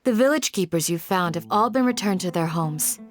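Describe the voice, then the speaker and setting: A woman's voice: lowish voice, expressive, moderate pace, faint music behind, natural voice. A woman playing a dutiful, helpful aide in a video game, speaking in an orderly, polite way. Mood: dutiful reporting. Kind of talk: scripted game dialogue.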